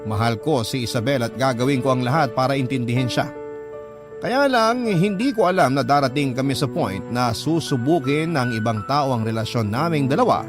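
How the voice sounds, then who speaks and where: A man's voice: mid-range voice, animated, normal speed, lightly acted. A man playing a devoted, heartbroken boyfriend who narrates his own story in an earnest, confiding way, with a Filipino accent, in a radio drama. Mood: pained. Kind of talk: scripted first-person radio drama narration. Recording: music behind the narration.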